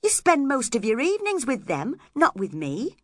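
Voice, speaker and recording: A woman's voice: sits high, huge pitch swings, moderate pace, dry recording, studio quality, voice pushed up, heavily acted. A woman voicing a resentful, jealous young man in a storybook, with a complaining, emphatic manner. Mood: hurt, reproachful.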